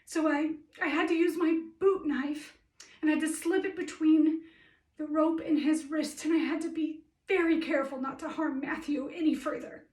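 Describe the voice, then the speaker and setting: Shaken, high-voiced policewoman acted by a woman. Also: steady tone, a little mellow, close to an everyday voice. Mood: distressed, careful. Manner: halting, plain-spoken, earnest. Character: shaken, earnest female police officer recounting a rescue. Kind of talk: documentary theatre monologue. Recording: roomy echo, distant microphone.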